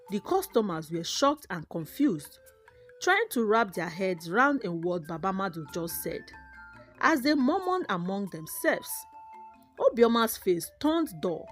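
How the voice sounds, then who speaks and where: Expressive woman storyteller over music. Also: mid-range voice. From Nigeria. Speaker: storyteller, narrator. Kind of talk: dramatic scripted folktale narration.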